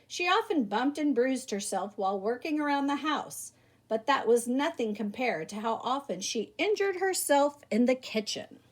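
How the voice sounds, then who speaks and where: A woman reading a children's picture book aloud like a teacher, animated, with theatrical emphasis. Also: sits high, normal speed. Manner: animated, theatrical emphasis with exclamations and rhetorical questions. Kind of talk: expressive children's story read-aloud.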